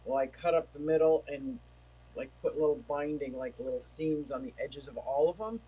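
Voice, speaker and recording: A man hosts a relaxed livestream from home, rambling between topics and reading out messages from his chat. His voice sits a little high for a man and he feels it starting to give out. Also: moderate pace, slight background noise, poor audio. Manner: rambling, chatty, reads chat messages aloud. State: losing his voice. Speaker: livestream host. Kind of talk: casual livestream chat reading viewer comments.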